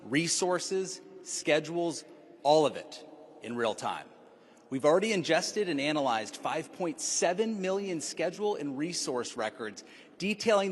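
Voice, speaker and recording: Male executive presenter, lively pitch, emphatic pitch, echoing hall. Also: slight background noise. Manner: emphatic, sales-like delivery. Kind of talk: scripted public presentation.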